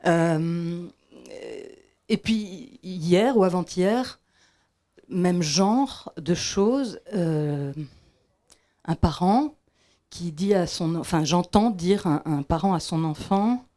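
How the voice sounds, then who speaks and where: A woman from Belgium, asking a reflective question from the audience with many pauses and restarts. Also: on the low side, dark tone. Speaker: audience member. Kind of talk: question from the floor.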